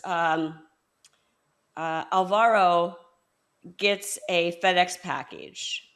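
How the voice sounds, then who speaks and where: A woman hosting a book podcast, building her questions slowly with fillers and hesitations. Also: fairly low pitch, fairly bright tone. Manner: measured, hesitant with fillers while framing questions. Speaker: podcast host. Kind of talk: conversational interview.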